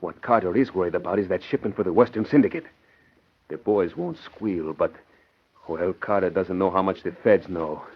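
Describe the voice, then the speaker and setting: Young mob henchman, low raspy voice, slangy and urgent, old dull radio show sound. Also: normal speed. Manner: slangy, urgent underworld talk. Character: young male gangster henchman, slangy and loyal. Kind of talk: 1940s radio acting. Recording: muffled old radio drama recording.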